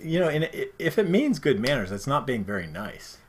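A man's voice: medium pitch, big pitch swings, fairly quick, a little hiss or hum. A middle-aged man co-hosting a live quiz show in a playful, teasing way, recorded at a desk in a room with bookshelves. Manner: playful, teasing, reads options aloud with lots of laughing. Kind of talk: casual, joking quiz hosting.

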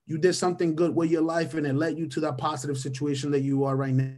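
A man's voice: fairly high pitch, level tone, fast talker, darkish tone. A man in his thirties, a native Spanish speaker, mentors his audience over a video call in a slightly raised voice. He repeats his main points and punctuates nearly every thought with a questioning "right?". The sound is webcam-call audio from a small room. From Venezuela. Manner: repeats key phrases for emphasis. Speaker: mentor presenting a webinar. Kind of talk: motivational webinar talk. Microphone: webcam or headset on a video call.

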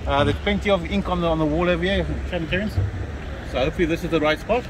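A man with a slightly high voice makes relaxed, offhand remarks while out fishing on a harbour pier. He stands a little away from the camera, and wind and sea noise are plain behind him. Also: racing. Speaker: fishing companion. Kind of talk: casual chat.

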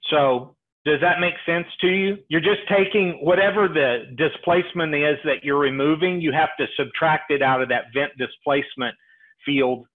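A middle-aged man presenting a live software tutorial, explaining things step by step over a headset on a video call. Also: a little high. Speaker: webinar presenter demonstrating software. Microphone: earpiece headset on a video call. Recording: tinny, phone-like webinar audio.

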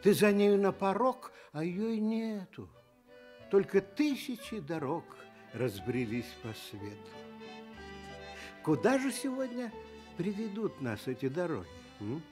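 A man's voice: high voice, a little slow, soft background music. An actor playing a kindly storyteller on a Soviet children's radio show, speaking lyrically and expressively to his young listeners. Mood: lyrical wonder. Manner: expressive, lyrical, addresses child as dear friend. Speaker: storyteller host.

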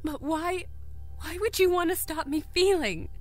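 A woman's voice: very high-pitched, unhurried, a little mellow. An actress playing a distressed, pleading captive woman in an audio drama, emotional and defiant. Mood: confused. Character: distressed, pleading female captive insisting she is human. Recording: music under the voice.

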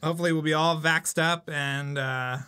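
Male co-host, fairly high and bright, playful wry delivery, casual banter. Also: steady tone, moderate pace, smooth. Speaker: podcast co-host.

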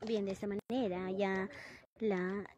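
A woman's voice: mid-range voice, fairly even intonation, a little slow, roomy sound. A woman giving live radio commentary on a ceremony, narrating each step steadily. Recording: loud music behind the voice.

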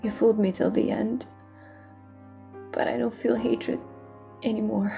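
A woman playing a weary, tender pirate captain in an intimate audio roleplay, speaking in a hushed, emotional and breathy way. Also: fairly high pitch, level tone. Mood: solemn, forgiving. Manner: hushed, slow, emotional delivery. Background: faint music.

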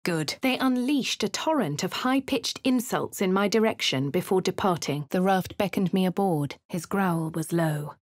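A dry, posh English adventuress. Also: female voice, lowish voice, lively intonation, moderate pace, hardly any pauses, no room sound. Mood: wry. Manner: crisp, clipped, dryly sardonic. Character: posh young female adventurer, dry and wry. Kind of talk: video game character voice lines. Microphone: close studio mic. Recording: dry studio recording.

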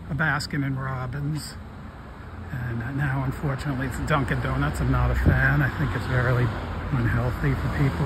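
Brief: middle-aged Long Island man, unhurried rambling walk-and-talk, phone mic outdoors, traffic clearly audible. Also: medium pitch. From Long Island, New York. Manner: slow, rambling reminiscing with fillers. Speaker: walking tour vlogger. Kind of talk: casual walking narration. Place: outdoors on a suburban street. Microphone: phone camera held while walking.

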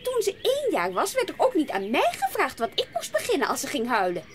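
An actress playing a brisk, insistent, hard-hearted aunt in a Dutch audio drama. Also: female voice, high voice, wildly swooping pitch, slight background noise. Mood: impatient.

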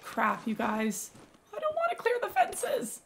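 Young woman streamer, gravelly raspy voice, excitable running commentary. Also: fairly high pitch, unhurried, background noise. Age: young adult. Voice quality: raspy from yelling. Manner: excitable, exclaiming at finds. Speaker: gaming YouTuber. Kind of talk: let's play commentary.

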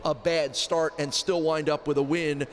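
A man's voice: fairly high pitch, level tone, normal speed, slight background noise. A middle-aged man hosting a post-game sports broadcast, interviewing on a handheld microphone courtside. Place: courtside in a large basketball arena. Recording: echoey arena, music behind.

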